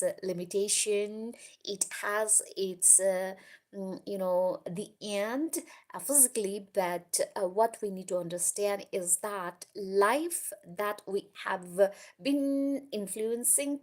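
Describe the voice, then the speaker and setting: A woman from Nepal, hosting a live stream as a motivational speaker, talking in a halting, grandiose way with many fillers. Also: medium pitch. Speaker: livestream host, motivational speaker. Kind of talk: live-stream monologue greeting viewers.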